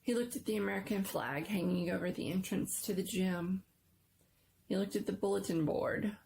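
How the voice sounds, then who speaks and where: A woman giving a dramatic, suspenseful narration of a scary story, sometimes hushed and lingering on words. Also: mid-range voice, moderate pace, gravelly, a little hiss or hum. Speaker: story reader.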